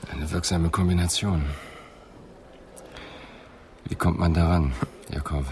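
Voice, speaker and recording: Calm, blunt police inspector, radio crime drama: low-voiced, terse questioning, some background noise. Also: male voice, slow pace. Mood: probing. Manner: sober, terse, probing.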